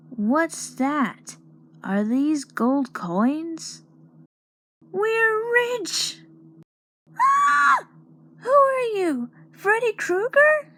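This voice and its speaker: A woman voicing an excitable, easily frightened cartoon girl adventurer, acting out voicelines in a theatrical, expressive way. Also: sits high, dry recording, clean studio sound. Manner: theatrical, expressive line delivery. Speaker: amateur voice actor. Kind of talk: acted character voicelines.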